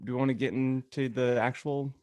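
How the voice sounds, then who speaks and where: A man co-hosting a podcast in casual chat, often halting and repeating words as he starts. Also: medium pitch, steady tone, mellow, no echo, lo-fi sound. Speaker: podcast host.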